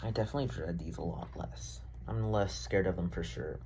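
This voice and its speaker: A man's voice: low-pitched, brisk, darkish tone, slight background noise. A young man rambling casually in a diary-style vlog, full of fillers, swearing and self-deprecating asides. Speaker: vlogger.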